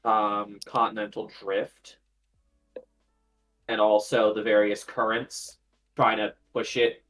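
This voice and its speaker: A man's voice: on the low side, little pitch movement, takes it slowly, fairly bright tone. A man taking part in a casual panel discussion.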